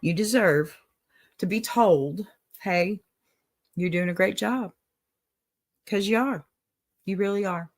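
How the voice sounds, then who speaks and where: A woman's voice: medium pitch, slow pace, pauses often. A middle-aged woman with a Texas accent hosting a livestream advice show, talking in a folksy, motherly way to her viewers. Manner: folksy and motherly, calls listeners honey and y'all. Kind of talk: warm encouraging livestream advice talk.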